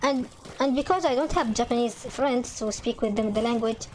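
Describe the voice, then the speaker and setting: A young woman with an Arabic accent, a native Arabic speaker, chatting casually over gameplay in halting English full of restarts and fillers. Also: on the high side, darkish tone, a little hiss or hum, rough recording. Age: young adult. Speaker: gaming channel host.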